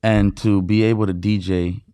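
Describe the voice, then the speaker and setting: A man from Mexicali, a DJ guest on an interview show, telling stories in excited, casual talk full of filler words and swearing. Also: fairly low pitch, normal speed, clean tone, no echo, studio quality. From Mexicali, Baja California, Mexico.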